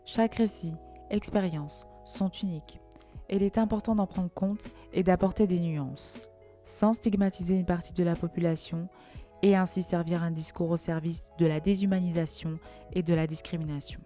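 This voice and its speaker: A woman hosting the show, reading a scripted introduction in a measured way. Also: fairly low pitch, steady tone, mellow, faint music behind. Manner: measured, read-out delivery. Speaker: host. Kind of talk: scripted narration.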